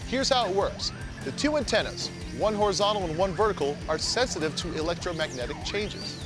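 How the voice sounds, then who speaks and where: A man's voice: high voice, expressive, a little fast, music in the background. An American man in his thirties hosting and narrating a scripted science show in a jokey, theatrical way. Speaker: host.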